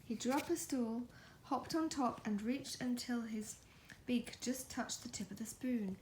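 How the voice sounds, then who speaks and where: A woman reading a picture book aloud in a soft ASMR style, gentle and coaxing, slipping into breathy whispers. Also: a little high, unhurried, a little hiss or hum, poor audio.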